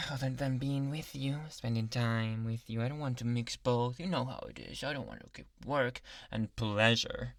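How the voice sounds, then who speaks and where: A man voicing a possessive, obsessive yandere doctor in an anime ASMR boyfriend roleplay, speaking softly and coaxingly, often in whispers. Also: mid-range voice, lively intonation, moderate pace, dry recording, clean studio sound, close to an everyday voice. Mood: affectionate, playful. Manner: soft, intimate, coaxing, often dropping into whispers. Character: possessive, obsessive male yandere doctor, sweetly menacing lover. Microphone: close microphone.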